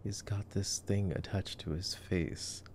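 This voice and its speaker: Male, deep and creaky, casual boyfriend-style ASMR reactions to a film, softly spoken. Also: steady tone, a little slow, a little hiss or hum. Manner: hushed, sometimes dropping to a whisper. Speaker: ASMR voice actor. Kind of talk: casual ASMR movie-watching commentary, boyfriend roleplay.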